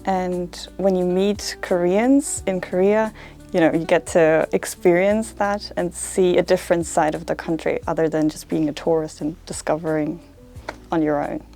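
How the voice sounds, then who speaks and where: A woman's voice: lowish voice, steady tone, moderate pace, warm tone, soft background music. A woman in her twenties, a language student, answering interview questions in a casual, hesitant way full of fillers. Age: 20s.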